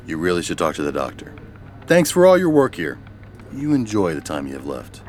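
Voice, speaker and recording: A man's voice: sits low, huge pitch swings, a little fast. A man playing an officious, coldly polite corporate boss in an audio comedy, speaking formally and with breezy callousness. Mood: breezy, callous. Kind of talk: audio drama comedy dialogue.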